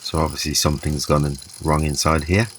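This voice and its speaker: A man giving a casual, hands-on repair demonstration, explaining haltingly step by step. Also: very deep voice, level tone, background noise. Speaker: repair video presenter. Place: small indoor room at a table.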